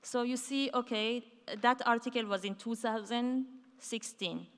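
A woman giving a conference keynote on a large dark stage, talking in a loose conversational way with fillers and restarts. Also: a little high, steady tone, a little slow. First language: not Hebrew. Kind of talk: conference talk. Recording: hall reverb from a large stage.

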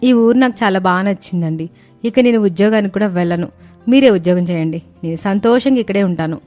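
A woman playing a cheerful, eager young city-bred daughter-in-law in an animated moral story. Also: mid-range voice, fairly quick, lightly acted. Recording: music bed under the voice.

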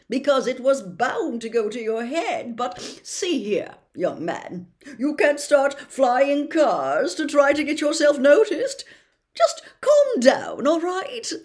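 A woman's voice: a little high, pitched above the performer's own voice. A woman voicing a vain, smug male celebrity wizard professor in a storybook reading, gushing and condescending. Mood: patronizing.

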